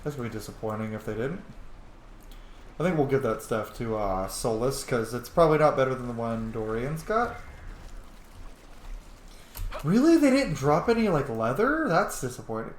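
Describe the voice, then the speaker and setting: A man giving casual, informal gameplay commentary to his viewers, excitable and prone to swearing. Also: mid-range voice, normal speed, long pauses. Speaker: let's play host. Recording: roomy echo, faint background noise.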